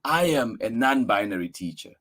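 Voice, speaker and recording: A man reads a children's picture book aloud in a mid-pitched voice. His delivery is earnest and emphatic, with very animated, wide-ranging intonation that suits a storytime for young listeners. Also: moderate pace. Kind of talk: expressive read-aloud for children.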